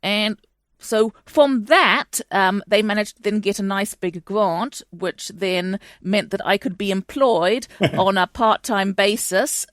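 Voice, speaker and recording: A woman's voice: on the low side, dry recording, clean studio sound. A woman from Chile, a guest historian, answering interview questions in a chatty way with many fillers and restarts. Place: home in London.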